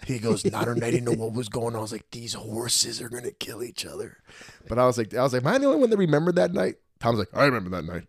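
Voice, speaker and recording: A man in his thirties telling stories in a casual podcast chat, profane and animated. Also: mid-range voice, fast talker, husky, no room sound, studio quality. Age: 30s. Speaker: podcast guest.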